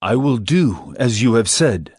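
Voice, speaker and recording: A man playing a dutiful grown son of an aged patriarch in a dramatized scripture reading, with a husky voice. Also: mid-range voice, big pitch swings, a little slow, darkish tone, no echo, clean studio sound. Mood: earnest, respectful.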